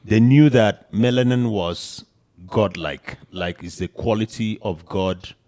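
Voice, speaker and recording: A man's voice: lowish voice, slow pace, dark tone. A man born in Canada co-hosting a casual discussion, explaining history in a lecturing way with emphatic rises.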